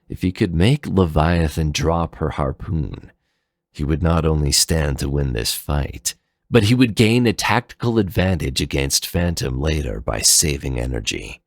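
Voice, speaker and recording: A man's voice: very deep voice, vocal fry, no room sound, clean studio sound. A man reading fan fiction as a dramatic, scripted story narration.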